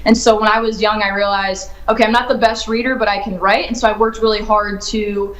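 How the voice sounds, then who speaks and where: A woman's voice: medium pitch, little pitch movement, brisk, fairly bright tone. Earnest young woman in an echoey room. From United States, grew up in Ohio. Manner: earnest and upbeat, thinks aloud. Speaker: children's book author, guest. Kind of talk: remote interview answers.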